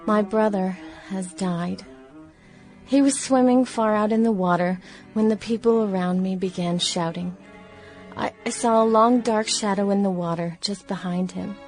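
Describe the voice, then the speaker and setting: Actress doing young sister in 1916 radio play, diary monologue, mid pitched, music under her. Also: a little mellow, close to an everyday voice. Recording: music behind the voice.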